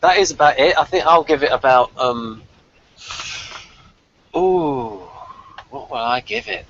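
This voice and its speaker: A man's voice: fairly high pitch, expressive, measured pace, a bit bright, lo-fi sound. A man hosting a casual review chat, thinking aloud as he goes. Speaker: host of a review video.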